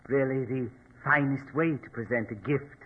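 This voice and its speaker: An actor in a 1940s radio drama playing a sinister, smugly courteous mortician with a formal, unctuous, deliberate manner. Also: male voice, mid-range voice, a little hiss or hum, lightly acted. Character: sinister, politely menacing male mortician, smugly courteous henchman. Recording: tinny, band-limited old radio recording.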